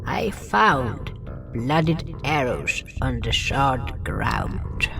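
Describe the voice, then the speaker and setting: A male narrator tells a scripted fantasy story in a solemn way. He speaks very slowly with a gravelly creak, a little distant from the microphone. Music runs clearly behind him. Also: medium pitch, sing-song. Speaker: narrator and writer.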